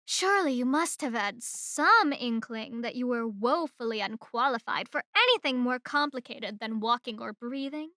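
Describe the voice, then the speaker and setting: Slow, high-voiced haughty female boss played by an actress. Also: a bit bright, no room sound, clean studio sound. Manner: condescending, deliberate, mocking. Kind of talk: ASMR roleplay acting. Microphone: close microphone in a dry room.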